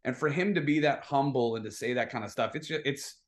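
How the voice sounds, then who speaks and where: A man's voice: medium pitch, fairly quick. Emphatic man swapping casual football stories. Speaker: guest, football video production staffer. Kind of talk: casual sports chat, storytelling.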